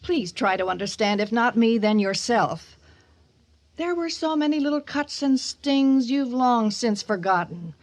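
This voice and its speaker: A woman's voice: fairly high pitch, lively intonation, a little slow. An actress playing a middle-aged, sorrowful mother, earnest and imploring, in an old-time radio drama. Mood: earnest pleading. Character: pleading, sorrowful mother of a grieving son.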